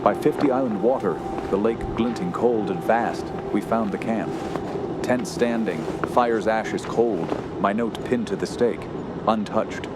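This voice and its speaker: An actor playing an earnest, shaken young woodsman who tells his story in a radio horror drama, halting and solemn. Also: lowish voice, lightly acted. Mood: haunted, solemn. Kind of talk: radio horror narration and acting. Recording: loud steady outdoor background noise.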